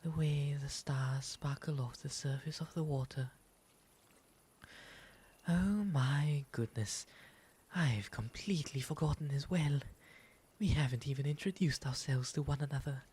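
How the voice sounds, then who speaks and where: A man voicing a gentle, courteous owl demon gentleman in an ASMR roleplay, breathy and often whispering, with polite, formal, soothing phrasing. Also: fairly high pitch, a little slow. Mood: courteous, friendly. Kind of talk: ASMR roleplay, intimate slow delivery. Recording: faint steady background ambience noise.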